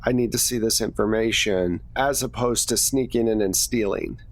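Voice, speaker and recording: A man's voice: on the low side, moderate pace. A man casually narrating a tabletop game as game master in a joking, easygoing way.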